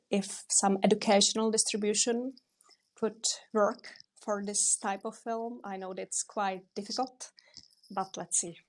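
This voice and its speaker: Flat-voiced hesitant young woman. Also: mid-range voice. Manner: hesitant, searching for words. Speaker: documentary director. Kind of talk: interview answers.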